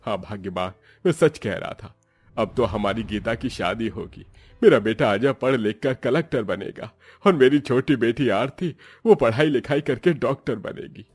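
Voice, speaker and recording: A man's voice: medium pitch, moderate pace, husky, dry recording, lightly acted. A man performs a poor, devout farmer and loving middle-aged father from a cartoon folk tale. He speaks earnestly in a mid-range voice and exclaims often. The sound is dry, with faint music underneath. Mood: happy, hopeful. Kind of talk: animated Hindi moral story dialogue.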